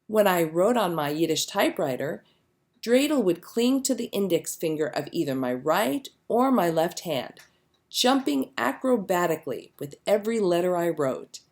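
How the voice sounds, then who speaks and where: Expressive woman reading a storybook, lively pitch. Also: moderate pace. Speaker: storybook reader. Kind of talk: scripted children's story narration, read aloud.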